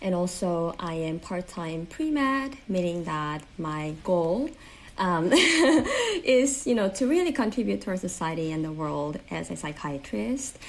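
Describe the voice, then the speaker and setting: A woman's voice: lowish voice, animated, a little slow. A woman in her thirties from South Korea with a Korean accent, chatting earnestly as an interview guest, with many "like" fillers. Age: 30s. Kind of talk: casual interview chat.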